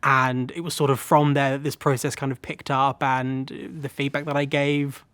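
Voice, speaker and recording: A man's voice: medium pitch, flat delivery, moderate pace, a bit bright, clear, resonant tone, no echo. A man who works as an accessibility consultant and teacher of the deaf, answering interview questions in an earnest, thoughtful way and often hedging with "sort of".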